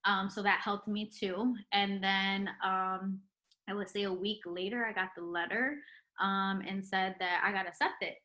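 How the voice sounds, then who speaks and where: A young woman with a bright, forceful voice talks through her story in a relaxed interview. She fills her speech with ums and likes and laughs easily as she goes. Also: mid-range voice, unhurried. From Puerto Rican heritage. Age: young adult. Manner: chatty, many ums and likes, laughs often. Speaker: interview guest, retired nurse. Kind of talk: casual interview chat.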